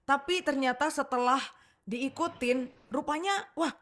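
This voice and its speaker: Casting: Indonesian female chat show host, fairly high voice, chatty, expressive, prone to giggles, informal delivery. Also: unhurried. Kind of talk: casual chat show hosting.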